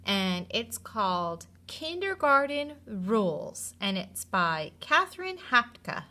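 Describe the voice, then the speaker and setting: A woman who teaches kindergarten, reading aloud expressively and talking to young children. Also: medium pitch. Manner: expressive, slow, clearly addressed to children.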